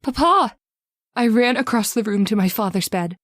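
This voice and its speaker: An actress playing a devoted young married daughter in an old-time radio horror drama, with an earnest, melodramatic delivery. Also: female voice, on the high side, expressive, moderate pace, fairly bright tone, slight background noise. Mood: distressed.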